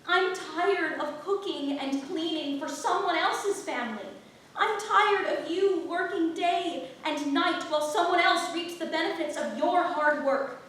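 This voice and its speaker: A woman's voice: very high voice, a little slow, a bit bright. A woman playing a weary, practical young maidservant in love in a staged play reading, earnest and exasperated. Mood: pleading. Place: theatre stage, distant microphone. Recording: very echoey theatre, far-off mic, faint noise.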